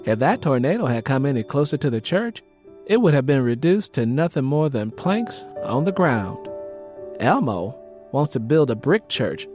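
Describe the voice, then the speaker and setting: A man's voice: medium pitch, sing-song, moderate pace, a little mellow, natural voice. A man voicing a weary, worried young church wife with a Southern US accent in an audiobook. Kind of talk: audiobook character dialogue. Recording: rain and music behind the voice.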